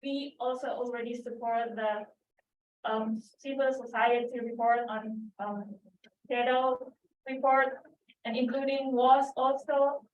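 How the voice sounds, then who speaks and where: A woman's voice: a little high, level tone, takes it slowly. Distant Indonesian-accented woman in echoey room. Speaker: audience member asking a question. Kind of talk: spontaneous conference question. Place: conference room, away from the mic. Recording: roomy, distant, dull, faint noise.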